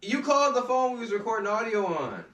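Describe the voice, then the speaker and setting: A man's voice: high voice, big pitch swings, fast, a bit bright. Casting: playful podcast co-host, a man heard from a distance in a roomy space. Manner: playful, rambling, laughs often. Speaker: podcast co-host, band member. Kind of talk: casual banter among friends. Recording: roomy, distant mic with faint hiss.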